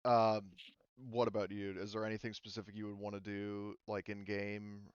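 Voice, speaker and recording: Male game master, low register, dry close sound, casual and hesitant explainer. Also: monotone, normal speed, clear, resonant tone, no echo, dull audio. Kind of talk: casual explanatory group discussion before a tabletop game. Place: online voice call.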